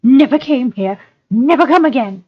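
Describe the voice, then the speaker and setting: A woman voicing a wretched, cringing creature in a storybook reading, whimpering and pleading in drawn-out, repetitive phrases. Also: sits high, animated, husky, muffled recording, pitched above the performer's own voice. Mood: fearful whimpering. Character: wretched whining creature, cringing, hungry and evasive. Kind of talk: audiobook-style character reading.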